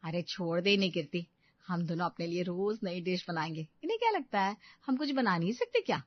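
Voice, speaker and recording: A woman's voice: on the low side, sing-song, brisk, no echo, dull audio, voice pushed down. A woman voicing a cheeky young daughter-in-law who justifies herself huffily in a cartoon family comedy. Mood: huffy. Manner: self-justifying, huffy.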